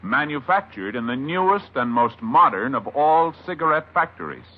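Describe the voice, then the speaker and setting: A man's voice: medium pitch, sing-song, normal speed. An American man announcing and advertising in formal, emphatic 1950s radio style. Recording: muffled vintage radio broadcast.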